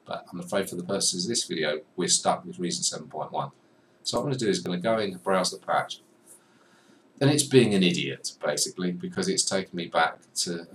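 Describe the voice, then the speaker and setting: A young man talks through a screen-recorded software lesson, chatty and joking at himself as he clicks around. His voice sits low. He's a little distant from the desk mic, with faint background noise in the small room. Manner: chatty, self-deprecating, talks to viewer while clicking. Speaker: music software tutor.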